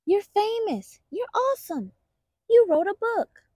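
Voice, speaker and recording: A woman plays an adoring baby bunny from a picture book, pitched very high. The little creature exclaims gleefully in short, excited bursts. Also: measured pace, no room sound, studio quality, exaggerated voice. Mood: proud, excited.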